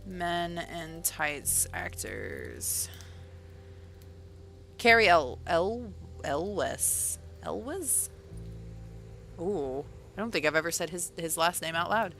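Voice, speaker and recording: A woman talking loosely on a livestream, her voice sitting a bit low but with a bright, sharp edge. She rambles and circles back, correcting herself mid-sentence. Soft music plays faintly behind her. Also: big pitch swings, drawn-out words, vocal fry, pauses often. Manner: rambling, chatty, self-correcting with many fillers. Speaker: author and livestreamer. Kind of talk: casual livestream chat.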